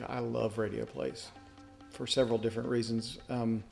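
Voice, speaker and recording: A man's voice: medium pitch, flat delivery, moderate pace, creaky, a little mellow, soft background music. A man who acts in radio plays, talking casually in an interview. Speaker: radio play actor. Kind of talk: interview talk.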